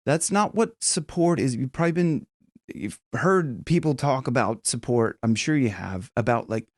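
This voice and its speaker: Male vocal coach, casual and emphatic, lively pitch, dry close sound. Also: normal speed, dry recording. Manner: chatty and emphatic, with vocal demos. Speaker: singing teacher. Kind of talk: casual video lesson.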